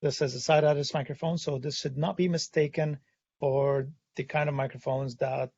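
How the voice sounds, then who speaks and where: Hoarse man presenting a webinar. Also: mid-range voice, fairly even intonation, normal speed, darkish tone, dry recording, lo-fi sound. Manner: hesitant, many "uh" fillers. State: hoarse, has lost his voice. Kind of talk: technical webinar explanation. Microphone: studio condenser microphone.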